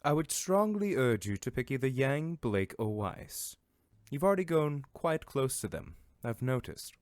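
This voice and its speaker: A man playing a calm, formal advisor at a royal court in a fantasy fandub, speaking in a measured, instructive way. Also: mid-range voice, no echo, clean studio sound. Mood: measured advising. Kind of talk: fandub fantasy drama acting.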